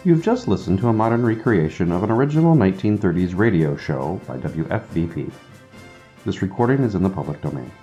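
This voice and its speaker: A man reads a scripted radio announcement. His voice is very low and somewhat mellow. The sound is slightly dull, with faint music behind him. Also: sing-song, brisk.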